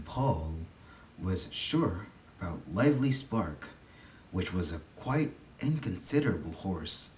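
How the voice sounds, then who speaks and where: A narrator reading a literary story aloud in a deliberate, dramatic way, with pauses between phrases. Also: sits low, darkish tone, a little hiss or hum, poor audio. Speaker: story reader.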